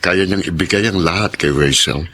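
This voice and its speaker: A man's voice: low voice, moderate pace, pushed and bright. An actor playing a poor, devoted, self-doubting father in a Filipino radio drama, speaking earnestly. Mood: humble, doubtful. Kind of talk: Tagalog radio drama acting. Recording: audible background noise.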